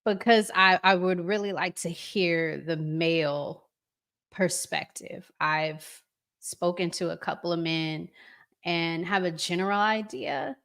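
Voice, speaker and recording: A woman hosting a live stream, giving casual, conversational commentary to viewers with deliberate pauses. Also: fairly low pitch, steady tone, fairly bright tone.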